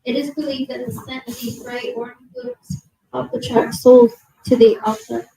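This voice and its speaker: A young woman giving a nervous museum presentation to an audience, reading from notes with many fillers and apologies, her soft low voice held away from a handheld mic indoors. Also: big pitch swings, normal speed, slightly rough, poor audio. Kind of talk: reading prepared talk to a live audience. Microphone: handheld mic held at a distance.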